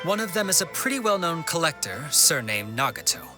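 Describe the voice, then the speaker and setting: A man's voice: a little high, fairly quick. A man voicing a courteous, obliging townsman in a video game, talking politely and expressively. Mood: informative. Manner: polite, expressive, conversational, flattering. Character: obliging, well-informed, courteous man of the town. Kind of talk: scripted video game dialogue. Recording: game music behind the voice. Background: background music.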